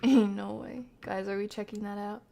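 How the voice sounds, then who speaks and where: A woman doing casual live commentary on a horror game, talking to chat in slang and thinking out loud as she reacts. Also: mid-range voice, fairly even intonation, normal speed, creaky. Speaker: game streamer.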